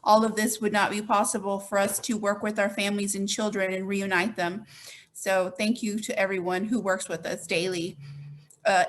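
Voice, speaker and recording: A woman's voice: medium pitch, a little hiss or hum. A woman giving informal remarks as a child welfare representative at an online event, on a video call.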